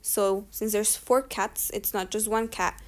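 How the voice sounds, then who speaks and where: A woman's voice: medium pitch, steady tone, unhurried. A young woman teaching a grammar lesson over on-screen slides, explaining rules and often correcting herself as she goes. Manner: talks through slides, frequent self-corrections. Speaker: tutor.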